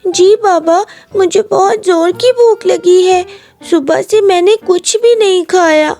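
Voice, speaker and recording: A woman's voice: very high-pitched, slow pace, mellow, voice pushed up, exaggerated voice. A woman voicing a hungry, whining young boy in a cartoon story, over music. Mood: hungry, pleading.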